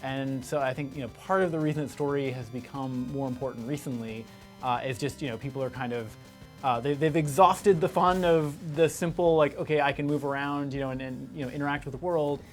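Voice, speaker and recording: Game designer guy chatting in an interview, sort of tentative, thinks out loud, faint music. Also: medium pitch, level tone, fairly quick. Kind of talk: casual reflective interview talk.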